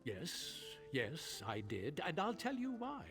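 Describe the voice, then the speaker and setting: An actor playing a scheming, haughty silk merchant in a video game, with a theatrical, wheedling and spiteful delivery. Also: male voice, fairly high pitch, huge pitch swings, slow pace, fairly bright tone, exaggerated voice. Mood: wheedling, pleading. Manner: theatrical, pleading turning to sneering contempt. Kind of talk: dramatic video game voice acting. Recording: game audio with faint music.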